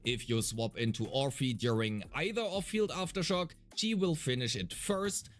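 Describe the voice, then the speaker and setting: A man's voice: medium pitch, fairly bright tone. A man reading scripted explanatory narration as a game guide, detailed and methodical with a mildly wry touch.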